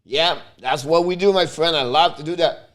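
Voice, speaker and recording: Excitable host with a Quebec French accent. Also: male voice, fairly high pitch, moderate pace. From Montreal, Canada. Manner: excitable, with loud sudden outbursts; talks straight to viewers. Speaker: live stream host. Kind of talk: casual live play-by-play and chat.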